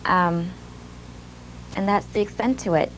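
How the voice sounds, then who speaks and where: A young woman recounting her own story in an older video clip, speaking softly and reflectively with some hesitation. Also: medium pitch, a little slow, slight background noise, rough recording. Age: young adult. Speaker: former student telling her story in a video. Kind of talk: personal testimony. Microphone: archival video clip played during a webinar.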